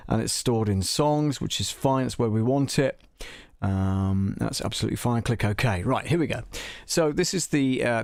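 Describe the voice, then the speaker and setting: Male live-stream tutorial host, mid-pitched, casual and halting, thinking aloud, close dry sound. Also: dry recording. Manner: halting, thinking aloud as he clicks through menus.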